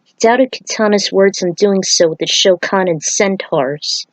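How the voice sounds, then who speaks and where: A woman's voice: mid-range voice, unhurried, no room sound, low-quality recording. A woman reading a story aloud as narrator, occasionally stumbling over a word. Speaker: fan fiction reader.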